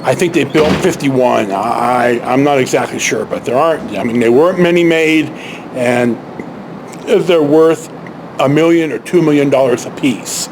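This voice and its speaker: A man tells stories casually, in a halting, rambling way with asides and self-corrections. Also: medium pitch. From San Francisco Bay Area, United States. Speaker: vlog host telling personal stories. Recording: clear steady background noise.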